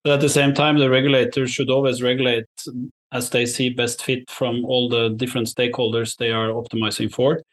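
Measured man giving careful interview answers. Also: medium pitch, level tone. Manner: measured, diplomatic, careful wording. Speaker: investor, interview guest.

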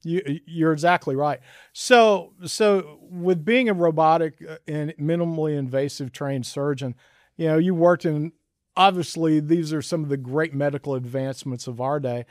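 A man's voice: fairly high pitch, moderate pace, no room sound, clean studio sound. A man hosting a conversational interview, encouraging and affirming his guest as he asks questions. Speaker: interviewer, show host.

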